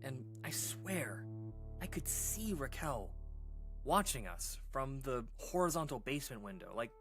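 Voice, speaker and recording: An actor playing a shaken man who recounts an eerie memory in a halting, casual, earnest way, like a caller in a radio drama. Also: medium pitch, big pitch swings, over music. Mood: uneasy, confiding. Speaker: caller telling a paranormal story on a radio show. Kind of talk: conversational scary storytelling, audio drama monologue.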